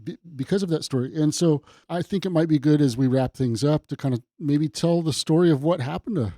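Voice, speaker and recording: A man's voice: on the high side, brisk, mellow, dry recording, studio quality. A man hosting an interview, asking questions in a friendly, casual way with hedging phrasing. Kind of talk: conversational interview.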